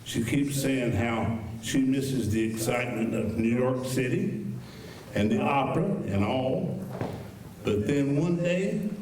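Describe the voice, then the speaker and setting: A man acts out a fretful Old West shopkeeper for a radio play. He rambles and grumbles in a folksy way at a very slow pace. The sound is echoey, as from a stage. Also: medium pitch, natural voice. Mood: wistful, gossipy. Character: fretful, talkative old-west general store keeper, man. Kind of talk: live old-time radio western acting. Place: high school auditorium stage. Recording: echoey stage, distant mic, faint noise.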